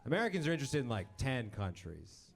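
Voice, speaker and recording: A man's voice: sing-song, fast, gravelly. A man in his thirties, born in Romania and raised in Texas, doing a dry, self-deprecating stand-up set on a club stage with a handheld mic. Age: 30s. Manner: dry, self-deprecating, mimics people in high voices. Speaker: stand-up comedian. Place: comedy club stage with live audience.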